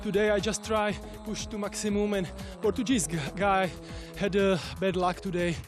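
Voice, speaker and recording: A man, a racer and non-native English speaker, giving a halting post-race interview. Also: sits high, flat delivery, music in the background. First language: not English.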